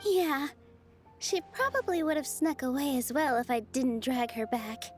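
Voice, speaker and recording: A young dancer in a video game with a high, gentle voice. She is earnest and encouraging, and music plays softly or steadily behind her. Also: measured pace. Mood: warm, earnest. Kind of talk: scripted video game dialogue. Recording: background music under the dialogue.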